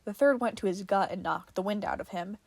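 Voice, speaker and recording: A woman's voice: medium pitch, fairly quick, creaky, no echo. A woman reading fiction aloud as a podfic narrator, in a dramatic storytelling style.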